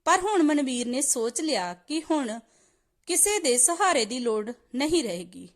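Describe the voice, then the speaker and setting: Female storyteller, high voice with lively swings, reading scripted family tales. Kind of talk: scripted moral story narration.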